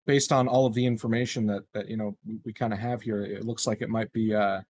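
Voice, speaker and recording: A man's voice: lowish voice, steady tone, quick pace, darkish tone, slight background noise. A man playing a tabletop roleplaying game, talking casually and tentatively as he thinks aloud in character. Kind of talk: casual improvised roleplay talk.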